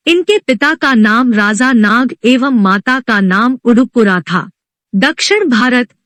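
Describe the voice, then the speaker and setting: A woman's voice: on the high side, dry recording. A woman narrating a scripted devotional story as a storyteller.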